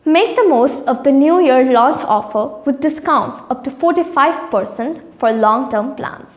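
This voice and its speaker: A woman with a high voice narrates a promotional intro, bright and smoothly read. Her voice rings in a roomy space with a long reverb tail, like a hard-walled room. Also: expressive, unhurried. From India. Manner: upbeat, smooth, evenly read. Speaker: channel host.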